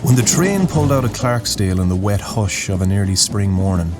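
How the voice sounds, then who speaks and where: A man narrating a scripted dramatic story in a solemn, poetic, deliberate way. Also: lowish voice, expressive. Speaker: narrator, host of a story series. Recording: music bed under the voice. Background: music.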